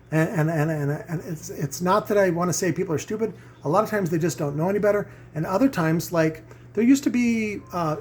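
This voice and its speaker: A man's voice: high voice, darkish tone, slightly rough. A middle-aged man hosting a casual, opinionated review vlog, rambling and emphatic, repeating phrases for stress and checking in with his listeners. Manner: often checks in with "right?" and "okay?". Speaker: host of a home audio review vlog. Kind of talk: casual opinionated monologue to viewers.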